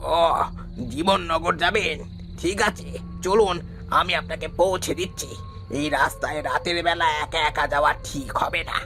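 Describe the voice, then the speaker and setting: A man playing an eerie old ox-cart driver who gives cautioning warnings in an animated horror story. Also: on the high side, unhurried, music in the background, close to an everyday voice. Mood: helpful. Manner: ominous, cautioning.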